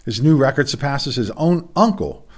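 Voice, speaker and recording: A middle-aged man with a hoarse voice, hosting a casual sports roundup from a home studio, with rambling, self-deprecating asides. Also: mid-range voice, expressive, moderate pace. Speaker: sports podcast host.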